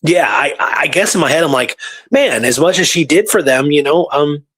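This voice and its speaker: Male history show host, voice a bit on the high side, informal and rambling, moving between notes and off-the-cuff talk. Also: animated, moderate pace, fairly bright tone, husky. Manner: rambling, informal, thinking aloud. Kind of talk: casual history storytelling, partly reading from notes.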